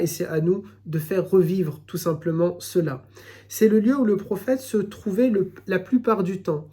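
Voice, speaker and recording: A man giving a recorded religious lesson, explaining in a measured way and often repeating his phrases. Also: high voice, a little mellow. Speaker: Islamic teacher.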